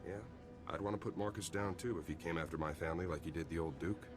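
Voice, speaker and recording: A man acts an easygoing military captain for a video game. His voice sits very deep, with a friendly, wry, casual delivery. Music is clearly heard behind. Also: fast talker, close to an everyday voice. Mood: sympathetic. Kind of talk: video game cutscene acting.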